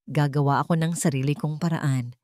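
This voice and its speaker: A woman voicing a wounded but resolute young heiress in a story, speaking in measured, firm, quietly defiant declarations. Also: deep voice, fairly even intonation, no room sound, studio quality, close to an everyday voice. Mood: cold resolve.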